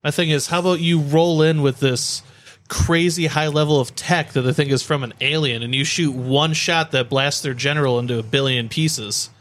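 A man's voice: fairly high pitch, steady tone, moderate pace, fairly bright tone. A man co-hosting a podcast, bantering casually in a joking, slangy way. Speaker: podcast co-host.

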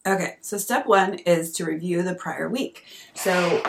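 A woman in her thirties hosting a podcast at home, hoarse from a cold, talking in a chatty, earnest way. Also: on the low side, a little slow, noisy background. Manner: chatty and earnest, with fillers and coughs. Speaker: podcast host and coach. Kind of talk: casual solo podcast talk. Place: at home at night.

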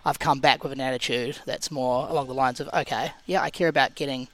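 A man in his thirties, a guest telling stories in a relaxed, rambling way with frequent restarts. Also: medium pitch, fast talker. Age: 30s. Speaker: guest, CrossFit athlete and coach. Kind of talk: casual podcast interview.

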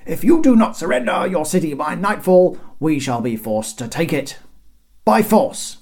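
Booming high-pitched herald, far-projecting. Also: big pitch swings, normal speed, darkish tone. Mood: grand, commanding. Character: tall thin male herald, grandly formal.

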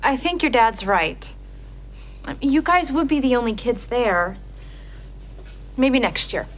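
A woman's voice: fairly high pitch, big pitch swings, slow pace. A woman playing a playful, bubbly, adventurous college-age friend in a sitcom. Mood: coaxing. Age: young adult. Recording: loud studio audience and noise behind.

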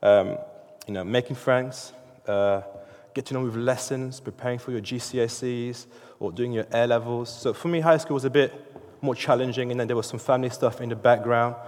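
A man's voice: medium pitch, fairly even intonation, fairly quick, a little mellow, long reverb tail. A man in his thirties, a native French speaker, gives a sermon in an informal, chatty way, full of restarts and fillers. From France. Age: 30s. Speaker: preacher. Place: church hall.

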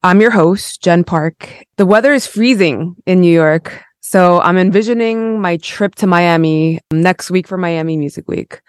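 A woman's voice: lowish voice, a little slow, hardly any pauses, no room sound, studio quality. A woman hosting a podcast, moving between a scripted introduction and casual interview chat. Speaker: podcast host.

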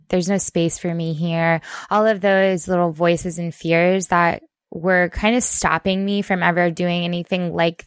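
A woman co-hosting a podcast talks candidly about her year, pausing on fillers as she thinks. Her voice sits a little low and comes across smooth and clean. The sound is dry and close, with no room echo. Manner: candid, reflective, many fillers. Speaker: podcast co-host. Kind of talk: casual podcast chat.

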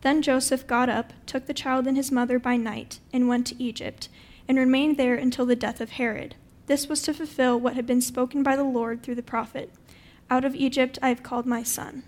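A woman's voice: sits high, fairly even intonation, moderate pace, a little mellow. A woman reading a Bible passage aloud from a script as narration. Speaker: scripture reader.

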